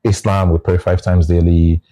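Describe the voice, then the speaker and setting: Nigerian man, very low voice, dark and husky, thoughtful, explaining with examples. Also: level tone, moderate pace. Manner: reflective, restarts phrases, explains with examples. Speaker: guest. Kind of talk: casual conversation.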